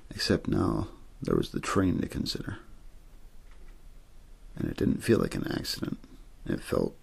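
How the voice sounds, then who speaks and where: Very deep, gravelly man reading softly. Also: huge pitch swings, a little slow. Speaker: audiobook-style reader for an ASMR channel. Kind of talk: ASMR story reading, scripted narration.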